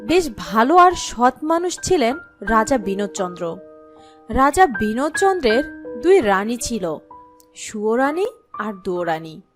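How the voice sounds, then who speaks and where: A woman's voice: on the high side, very animated, a little slow, over music. A woman narrating a scripted fairy tale as a storyteller.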